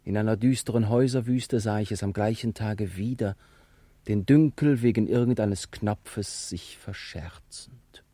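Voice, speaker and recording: A man's voice: on the low side, measured pace, warm tone, no room sound. A man reading ornate literary prose as the narrator of a radio play.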